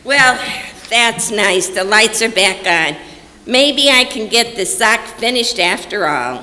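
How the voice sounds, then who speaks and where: A woman's voice: medium pitch, animated, takes it slowly, sharp tone, a little hiss or hum. A woman playing a placid, homely, folksy mother in an old-time radio drama performed on stage. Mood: placid, chiding. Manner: unflappable, folksy. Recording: echoey hall stage recording.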